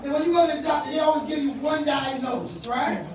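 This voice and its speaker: A woman comedian from South Carolina with a deep voice, doing a loud, punchy stand-up set and working the crowd. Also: fairly even intonation, fast talker, recorded from a distance, noisy background. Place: comedy club stage. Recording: echoey live venue, mic heard from afar, crowd laughter. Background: audience laughing.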